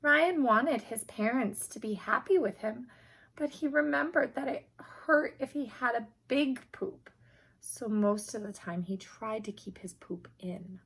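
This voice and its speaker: A woman reading a children's picture book aloud in an expressive, emphatic storytelling manner, with a slightly husky voice. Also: on the high side, measured pace.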